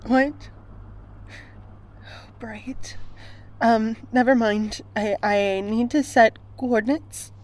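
Very slow, weary space traveller. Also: female voice, fairly high pitch, steady tone. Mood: anxious, hesitant. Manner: hesitant, halting, fragmented phrases. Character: lonely, sad, weary woman space traveller. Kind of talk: audio drama acting.

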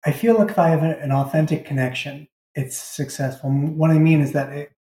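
A man's voice: on the high side, little pitch movement, fairly quick, warm tone. A man answering as an interview guest, a writer talking reflectively and conversationally about his work. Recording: roomy, echoey pickup.

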